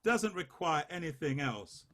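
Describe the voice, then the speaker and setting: A man leading a choir rehearsal, coaching the basses and singing short phrases to demonstrate. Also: sing-song, fairly bright tone. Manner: unhurried, sings phrases to demonstrate. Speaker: choir leader.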